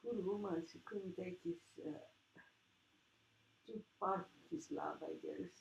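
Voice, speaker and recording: A man in casual discussion of poetry, speaking haltingly as he searches for words. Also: high voice, huge pitch swings, creaky. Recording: dull, noisy lo-fi room recording.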